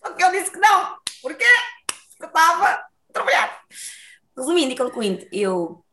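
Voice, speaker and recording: A woman's voice: very high voice, normal speed, a little hiss or hum. Chatty Lisbon woman telling her story. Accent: Lisbon Portuguese. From Portugal, Lisbon. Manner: lively, talkative, laughs often. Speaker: interview guest, dancer. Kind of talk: casual storytelling interview.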